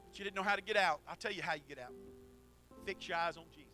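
A middle-aged man preaching a sermon into a handheld mic in a church, swinging from shouted exhortation to quiet storytelling. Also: sits high, fast, soft background music. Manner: preaches while pacing the platform. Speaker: pastor. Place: church sanctuary.

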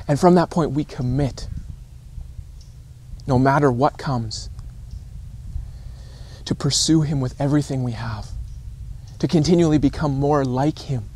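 A man in his thirties giving an informal sermon in an earnest, deliberate way, with emphatic repetition and pauses. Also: on the high side, darkish tone. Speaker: preacher. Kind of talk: informal recorded sermon to camera. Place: outdoors on a rocky shore by calm water.

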